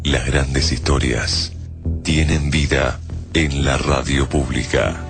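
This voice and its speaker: A man's voice: a bass voice, fairly even intonation, slow pace, vocal fry, over music. A man with an Argentine accent reading scripted radio promos as a station announcer.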